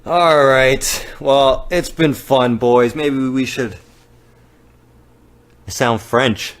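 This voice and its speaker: A man's voice: mid-range voice, steady tone, drawn-out words. A man with a Canadian accent, rambling and joking as he hosts a live giveaway. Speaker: business owner hosting a live giveaway. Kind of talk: casual livestream chat.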